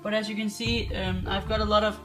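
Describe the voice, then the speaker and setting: Flat-voiced young man with Danish accent. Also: high-pitched, brisk. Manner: rambling, full of ums and restarts. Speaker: aquarium hobbyist vlogger. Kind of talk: casual show-and-tell vlog. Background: music.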